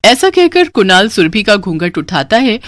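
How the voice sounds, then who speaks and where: A woman narrates a scripted cartoon story as its storyteller. Her voice sits at an ordinary female pitch. The delivery is that of read narration. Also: big pitch swings, moderate pace, a bit bright, dry recording, studio quality.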